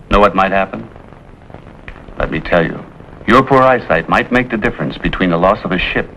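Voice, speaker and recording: A man's voice: sits low, gravelly, fairly bright tone, highs cut off, full character voice. An actor playing a firm, fatherly middle-aged American Navy officer, explaining with authority in 1950s television drama style. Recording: faintly noisy 1950s television soundtrack.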